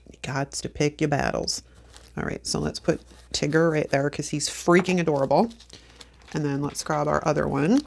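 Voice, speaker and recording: A woman's voice: deep voice, creaky, darkish tone. A woman in her fifties, sniffly from allergies, chatting casually to viewers while she decorates a planner, talking to herself and laughing often. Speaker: planner vlogger. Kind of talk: casual plan-with-me chat.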